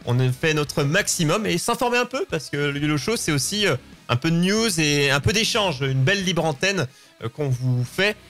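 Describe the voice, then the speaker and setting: Higher-pitched man hosting casually. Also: lively intonation, normal speed, fairly bright tone, faint music behind. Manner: chatty, informal, joking. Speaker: show host. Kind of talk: casual live show presenting.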